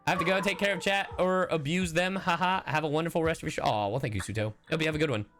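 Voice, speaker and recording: A streamer chatting casually and reacting to a game in a playful, sarcastic, expressive way. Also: medium pitch, rapid-fire. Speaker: streamer, VTuber and voice actor. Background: background music.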